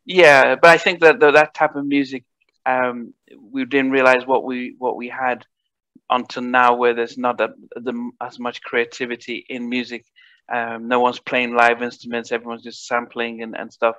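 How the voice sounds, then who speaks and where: Entrevistador de voz seca com headset. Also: male voice, medium pitch, flat delivery, normal speed, pushed and bright, no room sound, lo-fi sound. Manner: friendly, hesitant questioning. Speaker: podcast host and interviewer. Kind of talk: interview questions. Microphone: headset mic.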